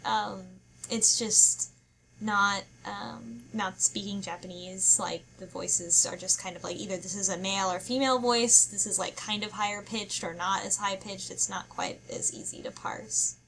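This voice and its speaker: A woman talking casually as a podcast panelist, often pausing to search for her words. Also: medium pitch, steady tone, creaky, sharp tone, a little hiss or hum, poor audio. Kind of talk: casual book club discussion.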